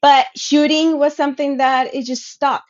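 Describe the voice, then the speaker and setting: A woman's voice: sits high, level tone, moderate pace. A woman, telling her story as a guest in an earnest, reflective way with many fillers, over a video call. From Venezuela. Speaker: guest speaker, sport shooter. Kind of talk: casual personal storytelling. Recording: muffled lo-fi call audio.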